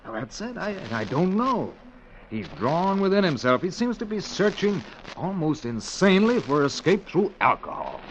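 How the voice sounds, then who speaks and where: A man's voice: sits high, very animated, moderate pace. A man playing an earnest, polite doctor in a 1940s radio drama, hesitant and stammering over his words. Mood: troubled, insistent. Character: earnest, anxious doctor, well-bred man of science. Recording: dull, noisy vintage radio recording.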